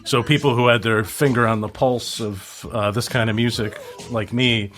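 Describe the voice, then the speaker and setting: A man's voice: mid-range voice, fairly even intonation, moderate pace, a bit bright. A middle-aged man co-hosting a podcast, chatting casually about music. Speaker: podcast co-host.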